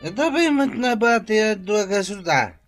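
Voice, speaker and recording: A man's voice: high voice, big pitch swings, moderate pace, no echo, soft background music. A man with an Ilocano accent playing a drunken, jealous, clingy young husband in a radio drama, slurring and wheedling. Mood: tipsy, wheedling.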